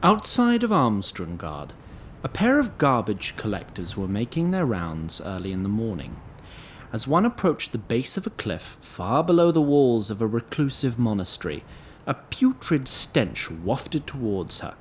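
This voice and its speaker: A man narrating an audio drama, reading scripted story in an animated, slightly wry manner. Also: mid-range voice, a little slow, a little hiss or hum.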